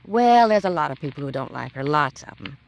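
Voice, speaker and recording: A woman's voice: sits low, big pitch swings, fairly quick, creaky, full character voice, low-quality recording. An actress playing a nosy, gossipy, disapproving landlady in a 1950s radio crime drama, with folksy, chatty colloquial speech.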